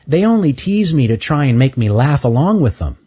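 A kind young storybook girl who talks warmly and reassuringly, as a man puts her on. Her voice sits far above a man's usual pitch and moves in a lively way. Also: fairly quick, slightly rough. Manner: warm, encouraging.

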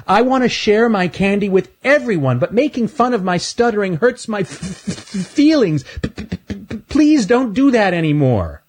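A man's voice: sits high, big pitch swings, normal speed, pitched above the performer's own voice. Man doing a stuttering, shy young storybook boy. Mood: earnest. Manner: stutters, repeats first sounds; gentle, earnest.

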